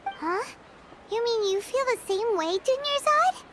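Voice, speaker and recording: A woman voicing a small, childlike fairy companion, excitable and loyal, with a squeaky, chirpy voice in an anime-style video game. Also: unhurried, background noise. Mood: puzzled. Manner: exclamatory, chirpy. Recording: music behind the voice.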